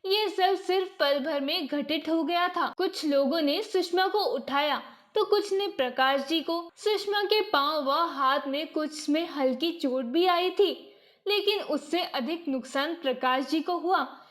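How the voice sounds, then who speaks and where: A woman's voice: sits high, unhurried. A woman narrating a scripted moral story as a storyteller.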